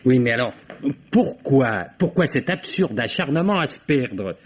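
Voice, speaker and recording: An actor playing an earnest, inquisitive and deferential police assistant who questions haltingly in a vintage French radio mystery. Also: male voice, mid-range voice, very animated, slightly rough. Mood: puzzled, curious. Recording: dull vintage radio drama recording.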